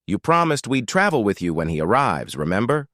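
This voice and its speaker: A man with a Nigerian accent voicing an excitable, nosy young female student in a story, exclamatory and teasing. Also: on the low side, a little fast, no echo, clean studio sound. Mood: pressing, curious.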